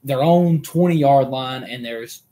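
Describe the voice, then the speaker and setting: A man's voice: medium pitch, mellow. Man, podcast co-host, casual chat, rambling anecdotes, mid-range voice. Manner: rambling storyteller with quick asides.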